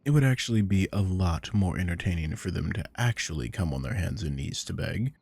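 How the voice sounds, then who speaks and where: A man acting a weary, possessive, domineering office boss with a deep voice, in a scripted ASMR roleplay. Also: lively intonation, brisk, vocal fry, a little mellow, husky, heavily acted. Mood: weary, confiding.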